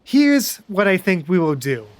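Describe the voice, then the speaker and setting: A man running a tabletop game as game master, narrating scenes in a dry, deadpan way. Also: high-pitched, measured pace, no room sound.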